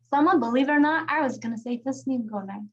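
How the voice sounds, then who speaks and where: A woman's voice: sits high, a little fast, dull audio. A woman facilitating an online youth check-in, affectionate and encouraging, praising each young speaker in turn. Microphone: video call.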